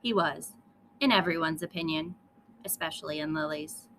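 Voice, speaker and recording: A woman reading a children's story aloud in an expressive style with dramatic emphasis. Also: low-pitched, moderate pace, a little hiss or hum, poor audio. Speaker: storybook reader.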